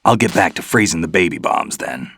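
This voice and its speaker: A man's voice: low-pitched, expressive, fairly quick, fairly bright tone, breathy edge, heavily acted. A man playing a cool, pragmatic seasoned soldier in a video game cutscene, talking in a terse, matter-of-fact way. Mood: cool, steady. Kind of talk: dramatic game cutscene acting. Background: background music.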